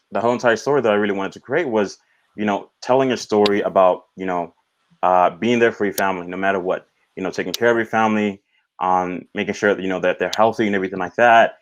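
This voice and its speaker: A native Swahili-speaking man with a very low voice gives casual interview answers as a film director. He talks haltingly, padding his phrases as he goes. The audio is dull and lo-fi. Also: a little hiss or hum. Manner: halting, repeats "you know".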